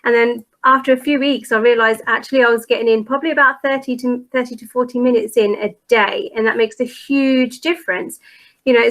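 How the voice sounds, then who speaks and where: Middle aged woman, kinda high voice, chatting to parents in an online workshop, relatable and reassuring. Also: fairly bright tone. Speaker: parent program presenter.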